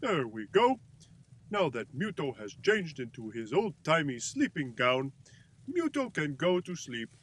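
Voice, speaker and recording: A man's voice: fairly high pitch, big pitch swings, unhurried, a bit bright, exaggerated voice. A man voicing a naive, cheerful, sweet-toothed creature who speaks of himself in the third person in a comedic audio drama. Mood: sweet, delighted.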